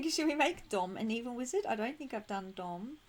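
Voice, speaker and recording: A woman with a fairly high, slightly croaky voice hosts a casual game stream. She talks in a bubbly, giggly way and is affectionate with her viewers. Faint music plays behind her. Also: big pitch swings, normal speed. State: croaky throat, needs clearing. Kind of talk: casual chat to viewers while playing.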